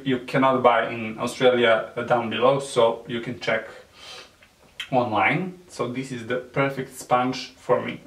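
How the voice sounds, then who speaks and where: A man with a Serbian accent, whose first language is Serbian, gives a makeup tutorial in halting English with many fillers and soft nervous laughs. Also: medium pitch. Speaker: beauty vlogger. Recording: echoey room, mic at a distance.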